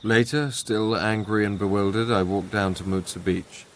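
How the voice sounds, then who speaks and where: A man's voice: on the low side, fairly even intonation, moderate pace, slight background noise, lightly acted. An actor playing a proud, sceptical young Englishman in a radio drama. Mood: reflective narration. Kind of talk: radio drama dialogue and first-person narration.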